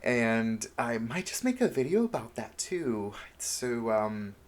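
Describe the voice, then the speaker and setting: A man's voice: mid-range voice, big pitch swings, slow pace. A man talking casually and openly to his viewers as a self-help vlogger, with an earnest, encouraging, informal manner. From Honduras, moved to the United States at seven. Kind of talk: casual confessional vlog talk to viewers.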